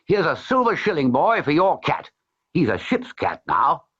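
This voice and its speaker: A man's voice: on the high side, huge pitch swings, moderate pace, a bit bright, slightly rough, pitched above the performer's own voice, full character voice. A man voicing a bluff, hearty male sea captain in a storybook reading. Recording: slightly dull with faint music.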